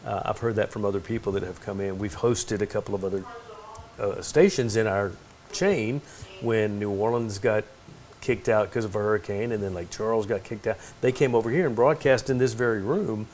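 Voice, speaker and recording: A middle-aged man from Texas speaks in a somewhat low voice. He asks rambling questions padded with fillers. A faint room hum sits behind him. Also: a little fast, darkish tone. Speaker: TV station host, interviewer. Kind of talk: casual interview. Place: tv station room.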